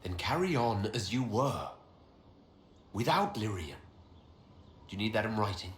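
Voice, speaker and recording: Low, steady, blunt hero. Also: male voice, unhurried. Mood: stern, challenging. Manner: controlled, dry, direct. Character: steady, blunt, dry-witted heroic leader. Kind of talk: dramatic video game acting. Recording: roomy echo, clear background noise.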